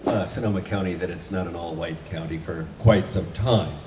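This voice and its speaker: A man's voice: low-pitched, a little fast, a little mellow, reverberant, slight background noise. A man, an author and guest from Northern California, talking onstage in an easy, joking way with many fillers. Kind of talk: onstage conversation. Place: event hall with an audience.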